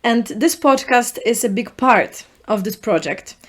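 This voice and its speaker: A young woman with a Polish accent, a native Polish speaker, hosting a solo podcast in an earnest, reflective way, speaking in short halting phrases. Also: on the high side. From Poland. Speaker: podcast host.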